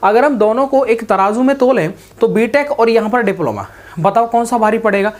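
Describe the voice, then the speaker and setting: A man's voice: sits high, brisk. A man hosting an explanatory talk in a didactic way, mixing in English words and often checking that listeners follow. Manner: mixes English words into speech. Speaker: host of a job exam news channel.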